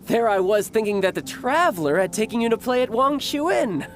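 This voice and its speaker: A man's voice: very high voice, lively intonation, normal speed, slight background noise. An actor voicing a confident, playful young male military officer in a video game, with theatrical delivery. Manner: grand oratory that slips into playful, awkward improvising.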